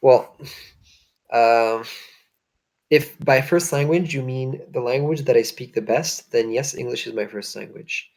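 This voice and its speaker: A man, French and Hungarian by birth, chatting casually while streaming a strategy game, often pausing and searching for words. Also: medium pitch, creaky, darkish tone. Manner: hesitant, searching for words, many fillers. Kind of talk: casual gaming chat.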